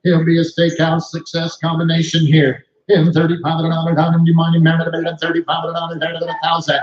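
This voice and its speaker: A man working as a livestock auctioneer, calling bids in a rapid rhythmic chant. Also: a little high, monotone, reverberant, dull audio. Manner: rhythmic repetitive bid calling. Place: cattle sale ring.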